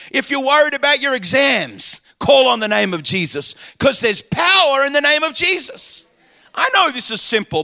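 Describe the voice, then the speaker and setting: A male pastor preaching with fervent, repetitive emphasis, his voice pitched high and ringing bright. He sounds a little hoarse. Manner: fervent preaching with repeated phrases. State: slightly hoarse. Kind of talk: sermon.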